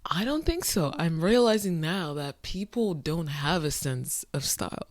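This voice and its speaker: A woman's voice: sits low, animated, a little slow, dry recording, studio quality. Exasperated woman ranting to camera. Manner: incredulous, exasperated, swings between soft mutters and loud outbursts. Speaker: vlogger. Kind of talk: late-night talk to camera. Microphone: phone or camera close up.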